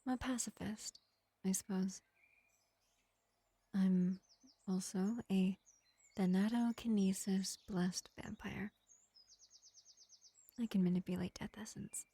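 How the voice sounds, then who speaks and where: A woman playing a gentle, ancient pacifist vampire in an intimate fantasy roleplay, speaking in an unhurried, soothing half-whisper. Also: mid-range voice, steady tone, breathy edge, dry recording. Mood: soft, confiding. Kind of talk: slow intimate ASMR-style fantasy roleplay.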